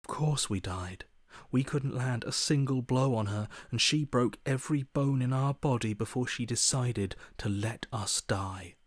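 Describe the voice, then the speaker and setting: A man's voice: medium pitch, measured pace, husky, dry recording, clean studio sound. An actor voicing a defeated, fatalistic man in a video game, subdued and mournful, with breath at the ends of phrases. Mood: hopeless, resigned. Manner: resigned, mournful, bitterly pessimistic. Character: despairing, defeated man, broken and fatalistic inner voice. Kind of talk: dramatic video game voice acting.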